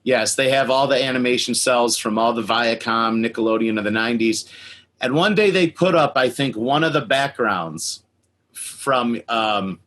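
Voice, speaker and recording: Male podcast host, mid-pitched, excitable fan chat full of slang. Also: moderate pace. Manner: enthusiastic, slangy, chuckling. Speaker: podcast host and cartoon collector. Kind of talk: casual fan interview.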